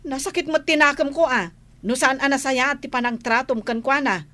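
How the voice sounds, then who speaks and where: A woman's voice: high voice, brisk, sharp tone. A woman with an Ilocano accent playing an aggrieved, gossipy village sister-in-law in a comedy radio drama. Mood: aggrieved, scolding.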